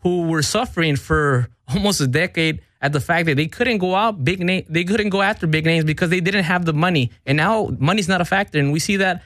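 A man hosting a sports radio show, talking casually, lively and teasing, quick to cut in. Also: on the high side, no room sound.

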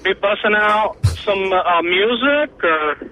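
A man's voice: high voice, animated, takes it slowly, lo-fi sound, slight background noise. A man making a prank call to a radio show, stammering and acting like a nervous first-time caller.

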